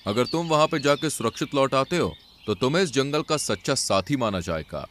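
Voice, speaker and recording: Cartoon lion, played by a man: authoritative jungle ruler, stern and wise, mid-pitched, expressive. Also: fairly quick, slight background noise, close to an everyday voice. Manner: authoritative, admonishing. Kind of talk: Hindi animal fable cartoon dialogue.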